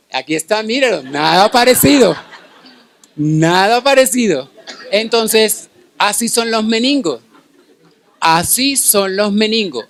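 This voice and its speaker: Higher-voiced Colombian Caribbean man lecturing. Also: no room sound. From Cartagena, Colombia. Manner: checks in with listeners through frequent short tag questions. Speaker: pediatric infectious disease specialist giving a lecture. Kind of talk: conference medical lecture.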